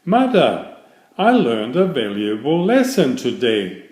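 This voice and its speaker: A man's voice: mid-range voice, huge pitch swings, takes it slowly, darkish tone, echoey room. A man voicing an innocent, polite little fairytale girl in a wide-eyed, earnest manner.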